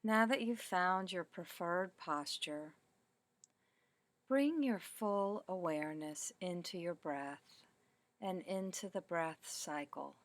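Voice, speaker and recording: Slow, low-pitched woman guiding meditation. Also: no room sound. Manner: calm, deliberate, measured phrasing with pauses. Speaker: meditation teacher. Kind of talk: guided meditation, body scan narration.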